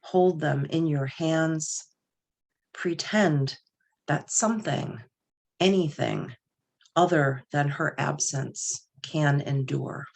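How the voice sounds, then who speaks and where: A woman poet with a low voice gives a reading of her work. Her delivery is very slow and solemn, each phrase set down deliberately. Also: gravelly. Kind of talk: poetry reading with brief spoken introductions.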